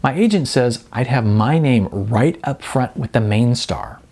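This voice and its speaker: A narrator voicing a sweet, excitable young actress with a gushing, halting delivery. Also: mid-range voice, husky. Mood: chatty, scatterbrained.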